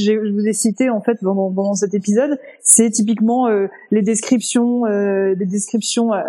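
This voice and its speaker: Slangy podcast woman, warm husky voice, easy laugher. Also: fairly high pitch. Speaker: podcast co-host. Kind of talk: casual book-club chat.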